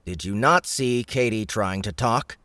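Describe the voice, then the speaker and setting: A man's voice: lowish voice, a little slow, sharp tone, dry recording, clean studio sound, voice pushed up. A man playing a firm, protective young dungeon boss in a fantasy audiobook. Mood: stern. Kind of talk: audiobook character acting.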